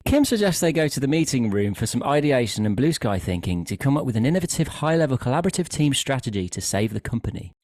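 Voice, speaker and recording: A man's voice: mid-range voice, sing-song, fast talker, few pauses, darkish tone, dry recording. A man narrating a scripted comic story.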